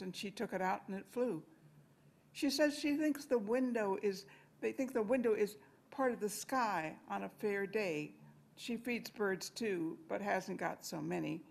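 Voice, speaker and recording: A woman's voice: medium pitch, a little slow, vocal fry. An older woman reading a conversational poem aloud at a podium microphone. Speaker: amateur reader in a reading-aloud group. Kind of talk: expressive poetry recitation. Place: library meeting room, indoors.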